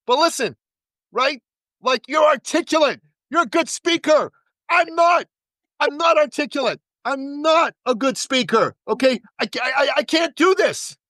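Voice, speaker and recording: A man talking as a podcast guest and business coach, giving an energetic pitch and repeating words for emphasis. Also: moderate pace, no echo.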